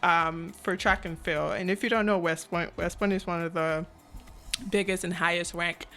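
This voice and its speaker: A woman's voice: lowish voice, steady tone, moderate pace, a bit bright, soft background music. Woman from Cameroon, chatty podcast guest. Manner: reflective, frequent "right?" and "um" fillers. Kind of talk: casual interview chat.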